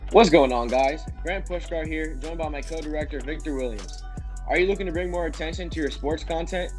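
A man reads an upbeat, salesy promotional spot. His voice sits somewhat high, with faint music underneath. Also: brisk. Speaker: ad reader. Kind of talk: promotional read.

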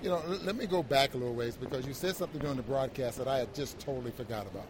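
A man co-hosting a sports show, giving casual basketball analysis in a halting way, repeating words as he builds each point. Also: mid-range voice. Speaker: basketball broadcast co-host, analyst. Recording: crowd noise behind the voice.

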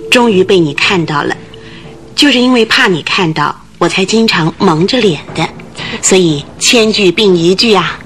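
A woman's voice: mid-range voice, fairly bright tone, a little hiss or hum. An actress in a Chinese radio drama playing a thin, fidgety, gossipy landlady of about forty, agitated and full of exclamations.

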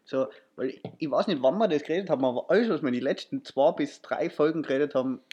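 Ein junger Mann mit österreichischem Akzent, der als Co-Host eines Podcasts locker plaudert, oft stockt und neu ansetzt und gerade etwas verschnupft ist. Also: male voice, fairly high pitch, fast, warm tone, breathy edge, dull audio. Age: young adult. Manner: rambling, stumbles and restarts often. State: stuffy nose from allergy. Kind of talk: casual chat.

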